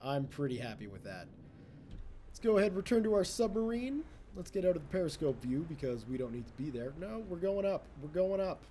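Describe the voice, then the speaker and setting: A man's voice: a little high, big pitch swings, brisk, mellow, faint music behind. A young man giving casual, rambling commentary while playing a game, often talking to the game and poking fun at himself. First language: English. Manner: rambling, self-mocking, talks to the game. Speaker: let's play host.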